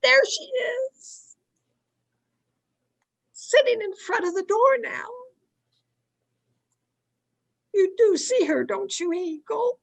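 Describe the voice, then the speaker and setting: Woman playing a high-voiced, creaky, domineering frightened wife. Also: lively intonation, a bit bright, exaggerated voice. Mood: panicked. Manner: imperious, dramatic, halting dread. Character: domineering, fearful wife haunted by a silent ghost. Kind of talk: old-time radio thriller acting.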